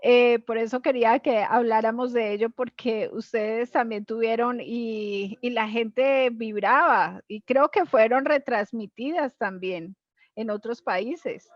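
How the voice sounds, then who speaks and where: A woman's voice: fairly high pitch, slow, no room sound, muffled recording. A woman, interviewing conversationally and agreeing with her guest as she goes.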